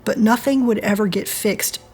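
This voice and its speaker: A woman's voice: medium pitch, moderate pace, darkish tone, breathy edge, faint music behind, lightly acted. A woman playing an earnest, principled friend in an audio drama, reasoning and pleading firmly. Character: earnest woman, a firm moral voice to her friend.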